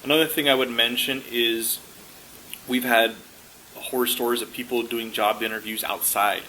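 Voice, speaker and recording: A man with a bright, forward voice coaches listeners in an informal way. He explains step by step, a little haltingly, repeating words to stress them. Faint noise sits behind him. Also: mid-range voice, fairly even intonation, rough recording. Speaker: career coach giving interview advice. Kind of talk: informal advice talk.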